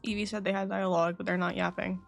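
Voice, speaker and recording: A woman livestreams a video game in a casual, rambling chat. She speaks at a middle pitch and drops the odd swear word. Faint music plays behind her. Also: level tone, quick pace, vocal fry. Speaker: game streamer.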